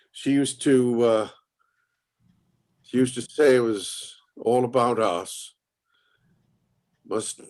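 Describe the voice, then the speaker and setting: Man acting a slow-talking, folksy, blustering inventor. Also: mid-range voice, natural voice. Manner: rambling, halting, folksy swearing. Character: gruff, folksy, hard-of-hearing American inventor, blustering and cagey. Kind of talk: dramatic play reading. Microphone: video call.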